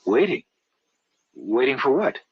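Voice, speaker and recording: A man's voice: medium pitch, sing-song, measured pace, creaky, slightly rough, dry recording, heavily acted. An actor playing a confident, skeptical American husband on his travels, earnest and assertive, with an American accent, in an old radio drama. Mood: incredulous. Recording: dull old radio recording.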